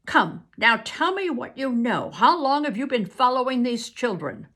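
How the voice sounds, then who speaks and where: A woman's voice: medium pitch, sing-song, normal speed, vocal fry, husky, heavily acted. A woman reading aloud, voicing a stern older uncle who speaks sharply and commands.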